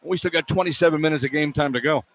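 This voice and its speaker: Higher-voiced American male play-by-play announcer. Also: racing. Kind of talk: live basketball play-by-play commentary with sponsor reads. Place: broadcast position inside a large indoor arena. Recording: live arena broadcast with background noise. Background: arena ambience and noise.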